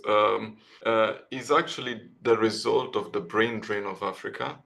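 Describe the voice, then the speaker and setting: Male guest author. Lowish voice with heavy fry, conversational, hesitant, wandering answers. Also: fairly even intonation, a little slow, a bit bright. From Italy. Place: online video call.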